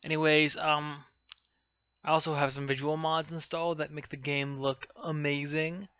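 A man's voice: a little high, level tone, normal speed, pushed and bright, clean tone, no room sound, lo-fi sound. A man giving casual, rambling gaming commentary in a hesitant, self-conscious way. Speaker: game streamer introducing a playthrough. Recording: music behind the voice. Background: game music.

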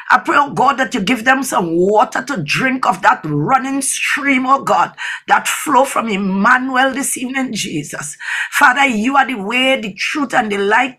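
Husky, high-voiced woman praying fervently. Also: sharp tone. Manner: pleading, repeatedly invoking God. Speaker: person leading prayer.

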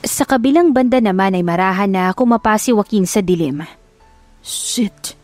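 A woman's voice: medium pitch, expressive, fairly quick, a little mellow, no echo. A woman narrator reading a scripted dramatic audiobook story, with music behind her.

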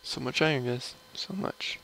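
A young man with a low voice, rambling casually through gameplay commentary at his computer. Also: pushed and bright, rough recording. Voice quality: low, gravelly voice. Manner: slow, mumbly and rambling, trailing off. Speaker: gamer streaming a mining session.

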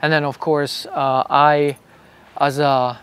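A man's voice: fairly high pitch, level tone, takes it slowly, a bit bright, clean tone. A middle-aged man from Czechoslovakia, explaining patiently in an outdoor garden with many fillers and restarts. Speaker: bonsai artist. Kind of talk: casual explanation. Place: outdoor garden under a wooden pergola.

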